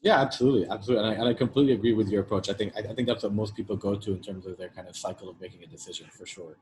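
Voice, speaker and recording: A man's voice: on the low side, fairly even intonation, racing, a little mellow, a little hiss or hum. A man hosting an interview, giving his own view and then putting long questions to his guest. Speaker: podcast host. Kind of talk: casual interview chat.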